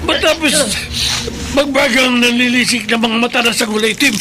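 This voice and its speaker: An alarmed, courageous man coming to the rescue in a horror radio play. He declaims urgently in a very high male voice over clear background noise. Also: moderate pace. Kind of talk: horror radio drama acting. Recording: noisy, with background ambience.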